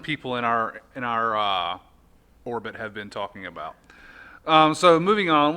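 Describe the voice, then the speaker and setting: A man's voice: on the low side, sharp tone, smooth. An American man hosting a casual podcast chat with playful, wry banter. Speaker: podcast host.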